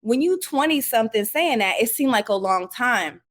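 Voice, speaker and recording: A woman in her forties, a podcast guest, telling stories in a relaxed conversational way with many fillers and lively acted-out quotes. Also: on the high side, moderate pace. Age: 40s. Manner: animated storyteller acting out quoted remarks, many "like" fillers. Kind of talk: casual conversational interview.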